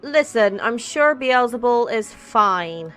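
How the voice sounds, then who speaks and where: A woman with a raised, girlish-leaning pitch does casual let's play commentary. She is chatty and irreverent, swearing freely, with faint game music behind her. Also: animated, takes it slowly, fairly bright tone. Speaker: gaming streamer.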